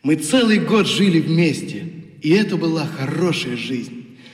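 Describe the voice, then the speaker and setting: An actor playing a sincere, heavy-hearted young youth-troop leader in a radio play. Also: male voice, fairly high pitch, lively intonation, a little slow. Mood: sorrowful farewell. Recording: reverberant, echoing hall effect, faint music.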